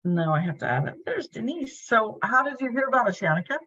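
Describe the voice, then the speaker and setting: A middle-aged woman chatting casually and apologetically as she hosts an online club meeting from a bedroom office, with a husky voice. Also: on the high side, sing-song, a little fast, sharp tone. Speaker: club meeting host, filling in for the president. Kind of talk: casual pre-meeting chat on a video call. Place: bedroom used as a home office. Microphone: video call. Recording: dull, lo-fi video call audio.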